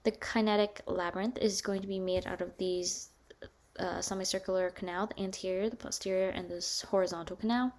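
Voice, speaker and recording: A woman lecturing casually on hearing and balance, with a higher voice and many fillers and restarts. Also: steady tone, brisk, a little mellow. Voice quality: higher-pitched voice.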